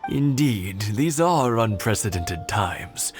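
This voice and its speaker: A man's voice: on the low side, expressive, takes it slowly, over music. A man playing an elderly village husband in a video game, hospitable and polite in a genial way. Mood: welcoming, grateful. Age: older.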